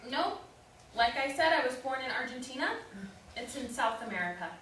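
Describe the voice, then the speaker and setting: Distant echoey room, woman acting young stage-play guest, slightly high voice, courteous, hesitant, patient. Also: very animated, brisk, a bit bright, a little hiss or hum. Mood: patient, correcting. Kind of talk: live staged play reading.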